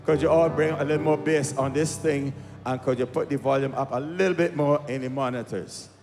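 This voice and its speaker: A man's voice: fairly high pitch, steady tone. A man with a Barbadian accent entertaining a live crowd as a comic storyteller and singer, speaking into a stage microphone. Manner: unhurried storyteller acting out dialogue with pauses. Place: outdoor festival stage. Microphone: stage microphone through PA. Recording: live PA with long reverb, music behind.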